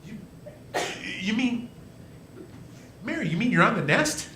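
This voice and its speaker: A highish, swooping male voice , acted by a man, for an earnest young husband in a radio play. He stammers and repeats himself, flustered and hot-headed. The room echoes around him. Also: very animated, loud music behind the voice. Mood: anxious, astonished. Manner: stammering, halting, emphatic. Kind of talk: live 1940s-style radio play acting. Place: live stage performance. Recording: roomy stage sound, faint noise.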